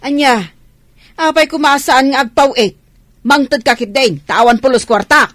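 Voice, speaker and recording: Grasping, high-pitched Filipino mother-in-law. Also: normal speed, a bit bright, dry recording. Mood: scolding, demanding. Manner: nagging, exclamatory. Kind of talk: radio drama acting.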